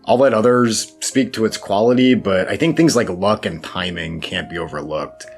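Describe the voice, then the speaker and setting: A man's voice: lowish voice, moderate pace, vocal fry. A man giving reflective interview answers in a thoughtful, self-deprecating way with wry asides. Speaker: interview guest, horror fiction writer. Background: background music.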